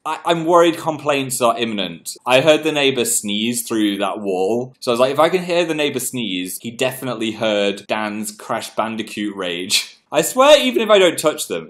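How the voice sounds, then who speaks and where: Young man livestreaming from his bedroom, bubbly, self-mocking, quick to giggle, webcam mic. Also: medium pitch, fairly bright tone. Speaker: livestream host. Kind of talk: casual livestream chat. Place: home bedroom.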